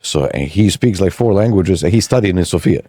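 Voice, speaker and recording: Deep mellow guy w/ hosting a podcast, rambles, dry close mic. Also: lively intonation, brisk, husky, no room sound. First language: Serbian. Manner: rambling, many restarts. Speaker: podcast host. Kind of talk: casual interview chat.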